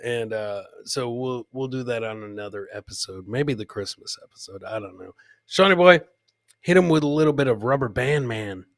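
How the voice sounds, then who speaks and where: A man's voice: mid-range voice, normal speed, no echo, clean studio sound. A man hosting a comedy podcast, casually commenting on funny video clips and repeating his own catchphrases. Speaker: podcast host.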